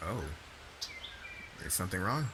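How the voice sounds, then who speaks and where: Over clear ambient noise comes a low, lively-pitched young warrior, acted by a man. He is a devoted, laid-back husband in a romance roleplay, teasing and slangy. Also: unhurried. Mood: polite, defensive. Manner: casual slangy talk, stammers when flustered.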